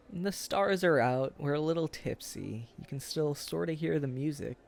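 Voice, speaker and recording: A man playing a tipsy, earnest young man wooing his old sweetheart in a boyfriend roleplay, speaking casually and haltingly. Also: fairly high pitch, vocal fry. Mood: playful coaxing. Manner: halting, tender, casual, often chuckling. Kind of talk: boyfriend ASMR roleplay acting.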